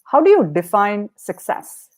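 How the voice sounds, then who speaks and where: A woman hosting a podcast interview with an upbeat, chatty manner. She laughs easily and rattles off listener reminders and rapid-fire questions. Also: medium pitch, very animated, a little mellow. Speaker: podcast host.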